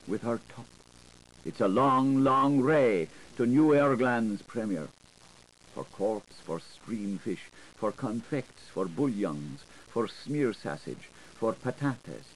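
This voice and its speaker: A man narrating a literary audiobook in a theatrical, deliberate, incantatory manner, playful with the wordplay, on a 1980s recording. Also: fairly low pitch, a little hiss or hum, low-quality recording.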